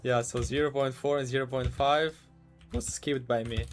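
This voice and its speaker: A man from Ukraine, casually commentating a live game stream, halting and repeating words as he talks. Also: mid-range voice, fairly even intonation, loud music behind the voice.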